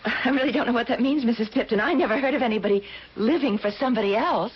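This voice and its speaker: An actress playing an earnest, polite and anxious young job seeker in a radio drama, hesitant, apologetic and eager. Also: female voice, a little high, fast talker, lightly acted. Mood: anxious, puzzled. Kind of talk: old-time radio drama acting. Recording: muffled, noisy old radio broadcast.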